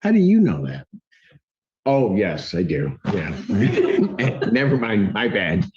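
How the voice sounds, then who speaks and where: A man's voice: on the low side, big pitch swings, takes it slowly, highs cut off, crowd noise. A man serving as a judge, running his docket with wry, teasing banter. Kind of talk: joking courtroom banter.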